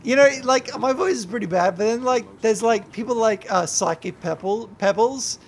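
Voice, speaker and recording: Drunk, gravelly high-voiced young streamer. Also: male voice, moderate pace, slight background noise. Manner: rambling and heavy swearing, yelling at the game. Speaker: YouTuber and streamer. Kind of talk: gameplay livestream commentary.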